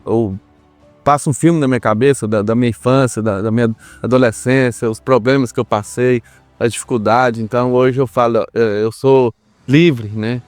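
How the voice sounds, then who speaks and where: A man's voice: mid-range voice, fairly even intonation, moderate pace, dry recording, soft background music. A Brazilian man speaking as a podcast guest, telling his personal story in an earnest, reflective way and repeating himself for emphasis. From Brazil, small town.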